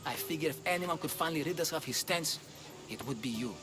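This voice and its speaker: Actor as a higher-voiced, haggling shipwright. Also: male voice, expressive, quick pace, close to an everyday voice. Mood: wary, confiding. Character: canny, friendly shipwright merchant, hard bargainer. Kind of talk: video game cutscene dialogue. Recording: music behind the voice.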